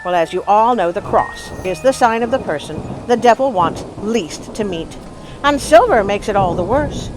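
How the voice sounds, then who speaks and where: A woman hosting a story show, telling tales in an expressive, folksy, animated way to her listeners. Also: medium pitch, normal speed, noisy background. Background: music.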